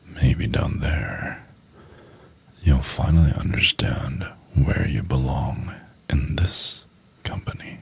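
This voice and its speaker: A man playing a cold, domineering, contemptuous chief executive in an ASMR roleplay, speaking in a husky, condescending, deliberate half-whisper. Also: extremely low pitch, exaggerated voice. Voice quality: husky, gravelly. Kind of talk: intimate ASMR roleplay, acted monologue.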